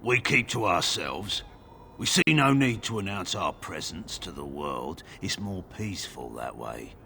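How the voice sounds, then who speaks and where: Suspicious game village guard, low forceful voice, curt, formal, lively intonation, faint outdoor ambience noise. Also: male voice, a little slow, vocal fry, exaggerated voice. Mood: guarded, firm. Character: terse, suspicious adult male village guard in armour. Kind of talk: video game dialogue acting.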